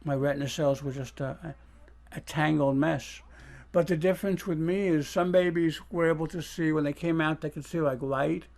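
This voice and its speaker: Male, higher-pitched with noticeable creak, for candid, halting, informal personal talk. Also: fairly even intonation, a little mellow. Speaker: blind interview subject in an audio documentary. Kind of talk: casual candid talk and reminiscence.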